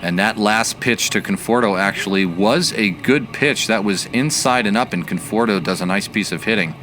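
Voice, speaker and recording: A man giving baseball play-by-play commentary as the announcer, measured and analytical in his delivery. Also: on the low side, a bit bright, slight background noise.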